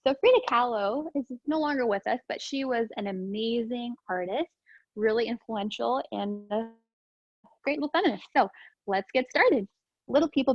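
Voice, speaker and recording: A woman teacher reading aloud to young children in an expressive, sing-song, encouraging way. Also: on the high side, dry recording, dull audio. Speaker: preschool teacher reading storytime.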